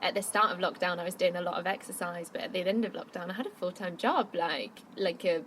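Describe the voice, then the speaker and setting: A woman's voice: fairly low pitch, fast talker, a bit bright, a little hiss or hum, poor audio. A woman hosting a podcast, asking questions and chatting casually, with frequent use of "like". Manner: chatty, uses filler "like". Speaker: podcast host.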